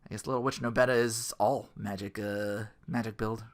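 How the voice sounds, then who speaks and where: A man's voice: on the low side, flat delivery, moderate pace. A man hosting a gaming podcast in a casual, rambling, slangy monologue, often acting out imagined quotes. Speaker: podcast host.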